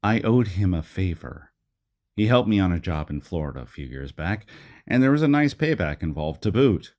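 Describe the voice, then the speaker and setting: A man playing a gruff, self-deprecating hired gun in an audio drama, talking in a blunt, colloquial way. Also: low-pitched, big pitch swings, moderate pace, darkish tone. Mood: gruff, dismissive.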